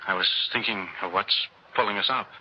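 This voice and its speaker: A man's voice: fairly low pitch, brisk, natural voice. An actor playing a plain-spoken, morally indignant working man in a 1960s radio drama, speaking in earnest, colloquial phrases. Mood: hesitant, brooding. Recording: muffled, hissy old radio recording.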